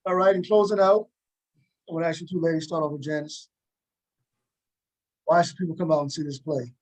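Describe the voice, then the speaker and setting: A man's voice: fairly high pitch, fairly even intonation, a little fast, poor audio. A man interviewing guests casually, putting questions to them as the moderator of a panel.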